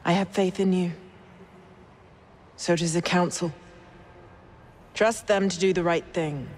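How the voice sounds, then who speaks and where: An actress playing a wry, patient female Jedi in a video game, composed and gently teasing. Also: lowish voice, steady tone, a little slow, a little hiss or hum, close to an everyday voice. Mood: calm, reassuring. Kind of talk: cinematic video game acting.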